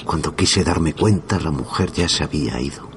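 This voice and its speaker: A man from narrating a first-person horror story in a slow, hushed, suspenseful manner. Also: rumbling low, background noise.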